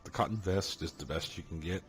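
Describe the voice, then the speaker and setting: A young man with a deep voice giving easygoing commentary while playing a video game, sounding flat even when enthusiastic. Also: a little fast. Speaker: let's play gamer. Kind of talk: casual gameplay commentary. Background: loud game music.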